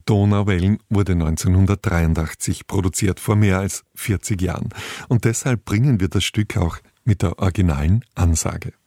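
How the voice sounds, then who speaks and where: A man presents a scripted radio introduction in a low voice. His delivery is measured, factual and formal. The sound is dry, as in a studio with no room echo. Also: warm tone.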